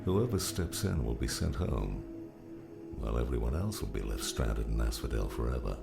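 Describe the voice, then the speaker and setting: An actor playing a gruff, fatherly old mentor, weary and wise, in a slow audiobook drama performance with a gravelly voice. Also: rumbling low, expressive, heavily acted. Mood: solemn. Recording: music behind the voice.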